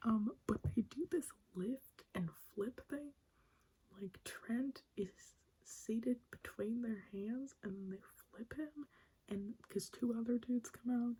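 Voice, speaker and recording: Brief: young woman, soft ASMR whisper, very breathy, mellow tone, chatty and eager. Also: on the high side, takes it slowly, poor audio. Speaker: wrestling recap host. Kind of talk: ASMR whispered show recap.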